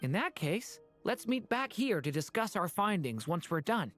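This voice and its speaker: A woman's voice: lowish voice, very animated, moderate pace, fairly bright tone, soft background music. A woman voicing an earnest, curious, polite boy in a video game.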